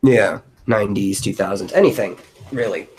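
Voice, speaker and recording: A man's voice: fairly low pitch, moderate pace, darkish tone, breathy edge. A man hosting a casual brainstorming chat, thinking aloud in a loose way. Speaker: host of a brainstorming stream. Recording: noticeable background noise.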